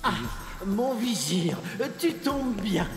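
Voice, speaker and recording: Sultan de dessin animé, excité et bêta. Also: male voice, high voice, slow pace, music in the background, exaggerated voice. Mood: gleeful. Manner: hesitant, stammering, exclaiming. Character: excitable, dim-witted sultan delighted by riddles. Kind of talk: animated children's cartoon acting.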